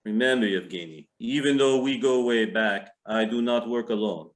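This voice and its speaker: A man's voice: mid-range voice, normal speed, close to an everyday voice. A man acting a domineering Russian crime boss in readers theater, curt and commanding. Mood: threatening.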